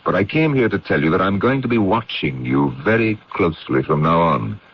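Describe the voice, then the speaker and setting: A man's voice: low-pitched, animated, fairly quick. An actor playing a stern, accusing man in a radio drama, blunt and confrontational. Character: stern, accusing man warning a murder suspect. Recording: dull, noisy old radio broadcast.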